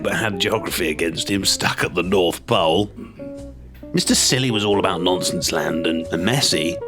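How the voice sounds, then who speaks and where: An actor playing an avuncular storybook character reminiscing about politics in a chatty, hesitant, gossipy interview. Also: male voice, lowish voice, close to an everyday voice. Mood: confiding, amused. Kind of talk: mockumentary interview in audio drama.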